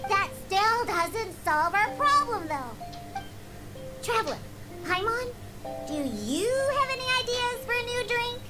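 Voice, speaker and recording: A woman's voice: very high-pitched, very animated, slow, heavily acted. A woman voicing a proud, feisty young catgirl bartender in a video game, with a girlish, sassy, theatrical delivery. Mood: doubtful. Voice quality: girlish, childlike. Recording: game music behind the voice.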